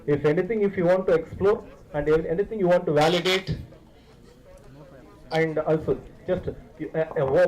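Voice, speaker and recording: A man with an Indian accent and a slightly high voice gives a promotional talk to students as a university director. He explains point by point, working through lists and often repeating his words. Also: darkish tone, poor audio. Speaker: visiting university director of international programs.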